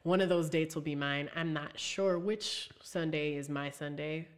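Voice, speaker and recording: Young woman, low voice, animated interview guest, heavy filler use. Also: unhurried. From Ohio. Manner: animated, many fillers like "like" and "um", laughs easily. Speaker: singer and guest. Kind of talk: casual interview chat.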